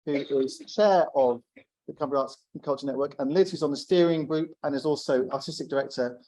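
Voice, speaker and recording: A man hosting a meeting from a theatre stage, brisk and jokey as he moves through the agenda. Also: a little high, dark tone, rough recording. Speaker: meeting host. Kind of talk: compering a meeting.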